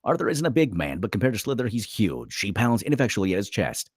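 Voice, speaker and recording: A man's voice: lowish voice, very animated, fast talker, slightly rough, no room sound, clean studio sound. A man reading screenplay stage directions aloud as a dramatic narrator at a table read.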